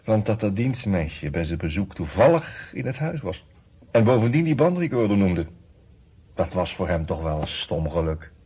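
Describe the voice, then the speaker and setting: Husky, deep-voiced convicted lawyer. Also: male voice, sing-song, normal speed, heavily acted. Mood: wry, confiding. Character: convicted male lawyer, smug, worldly-wise prisoner telling his story. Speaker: actor and narrator in a radio detective play. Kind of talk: Dutch radio drama acting, conversational storytelling. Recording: dull, muffled old radio recording.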